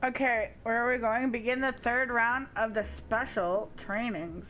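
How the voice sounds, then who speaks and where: A woman's voice: a little high, steady tone, unhurried, a bit bright, lo-fi sound, soft background music. A woman who streams games live, chatting casually with her viewers. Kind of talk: casual livestream chat.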